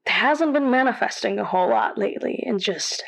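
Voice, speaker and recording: A woman playing a flustered, apologetic caller to a radio advice show in an audio drama, talking in a nervous, halting way. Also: mid-range voice, expressive, creaky, low-quality recording. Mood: nervous, embarrassed. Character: flustered, apologetic young woman with a ghost girlfriend. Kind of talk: naturalistic audio drama phone-in.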